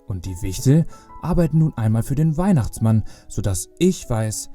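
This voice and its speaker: A jolly old Father Christmas acted by a man speaks with fatherly kindness in a children's audio play. His voice is warm and mellow and swings widely in pitch, with soft music underneath. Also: very animated, normal speed, close to an everyday voice. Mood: gently solemn.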